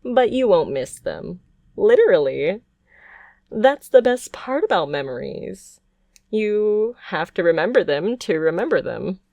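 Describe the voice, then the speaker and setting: A doting yandere witch, acted by a woman in an intimate ASMR roleplay. She talks slowly in a dry, close sound, cooing and coaxing. Her sweetness is condescending and possessive, with a sinister edge. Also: medium pitch, very animated, creaky, a little mellow, no room sound, lo-fi sound. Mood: possessive, teasing. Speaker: ASMR voice performer.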